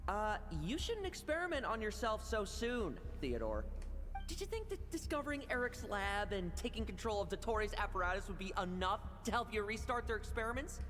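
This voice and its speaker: A smug young schemer in an anime game, taunting coolly. The voice swings widely in pitch and rings with long reverb over music. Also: female voice, very animated, brisk, fairly bright tone. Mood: mocking.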